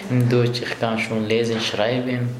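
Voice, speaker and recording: A young man from Afghanistan with an Afghan accent, answering interview questions in halting, broken German. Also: mid-range voice, flat delivery. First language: language of Afghanistan. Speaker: interviewee, asylum seeker and runner. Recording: echoey room with clear background noise.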